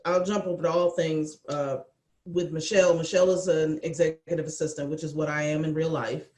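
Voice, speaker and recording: A woman chatting casually as a cast member, speaking in a thoughtful, hesitant, measured way on a video call. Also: low voice, steady tone, darkish tone. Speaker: cast member and executive assistant. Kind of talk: casual chat. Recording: roomy echo over video call.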